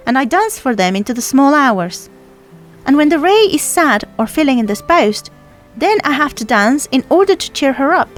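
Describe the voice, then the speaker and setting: A little girl from the sea, acted by a woman in a storybook reading. She is high-pitched and lively, chattering proudly and affectionately with childlike certainty, while music plays behind her. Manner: chatty, childlike, matter-of-fact boasting. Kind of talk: storybook character voice.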